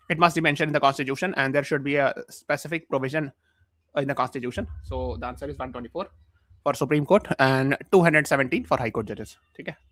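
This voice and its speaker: A man's voice: mid-range voice, fast, fairly bright tone, no echo. A man with an Indian accent teaching a live online exam class while mildly feverish, explaining points repeatedly and checking with questions.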